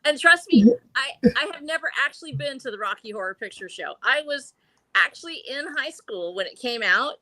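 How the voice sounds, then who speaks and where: A woman's voice: high-pitched, animated, fairly quick, sharp tone, rough recording. A woman in her early sixties chatting casually and effusively as a guest on a panel show. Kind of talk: casual chat.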